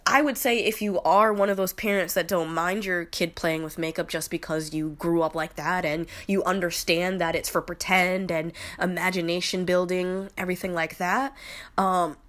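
A woman's voice: on the low side, steady tone, normal speed, fairly bright tone. A woman in her late twenties with a slightly nasal voice from a cold, talking through her opinions in a loose, rambling monologue. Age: 20s. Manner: rambling, with many fillers and restarts. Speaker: podcast host.

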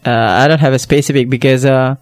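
A man's voice: medium pitch, fairly even intonation, a little fast, no echo. A man, speaking as a guest, with many fillers and hesitations. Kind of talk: casual discussion.

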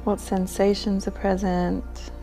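A woman leading a guided meditation with gentle, soothing instructions. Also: mid-range voice, level tone, slow pace, soft background music, low-quality recording.

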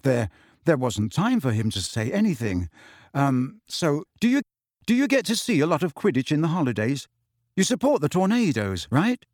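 A man playing a flustered teenage boy who speaks haltingly and awkwardly. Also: mid-range voice, sing-song, slightly rough, no echo, studio quality. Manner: halting, hesitant.

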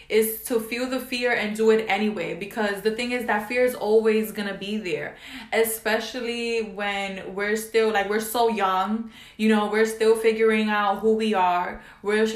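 Host, woman, 24, affirming and encouraging, casual talk, roomy sound. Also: mid-range voice. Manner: encouraging, affirming, frequent "you know" and "right". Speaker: podcast host. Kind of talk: casual chat. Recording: echoey room.

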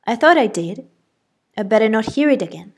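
A woman voicing a strict older aunt who scolds the children sharply and loudly. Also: medium pitch, measured pace, breathy edge. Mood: scolding.

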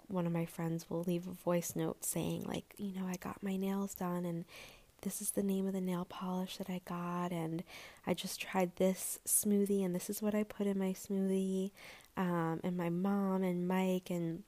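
A woman hosting her own podcast in a gentle, rambling chat, her voice a little raspy and hoarse, with plenty of fillers. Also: fairly low pitch. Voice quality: raspy, breathy. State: slightly hoarse. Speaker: podcast host. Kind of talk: solo podcast intro, casual chat.

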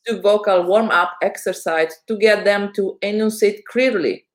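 A woman's voice: medium pitch, moderate pace. A woman moderating a webinar, politely and hesitantly passing on an audience question.

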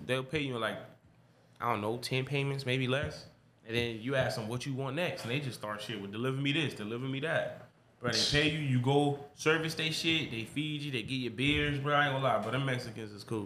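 A man, chatting casually among friends. His voice is bright and forceful, and he tells his stories with lots of slang and laughter. The room around him is echoey, with a faint ambience behind. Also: medium pitch, brisk, slight background noise. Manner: animated storyteller, laughs often, slangy. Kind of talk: casual chat.

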